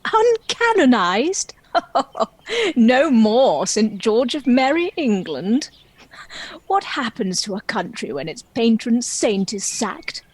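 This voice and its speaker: A woman's voice: fairly high pitch, very animated, takes it slowly, breathy edge, heavily acted. A woman playing a proud, lonely, world-weary dragon in a comic play, with a theatrical, wry and indignant manner. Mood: indignant, gleeful. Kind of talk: dramatic monologue in a comic play.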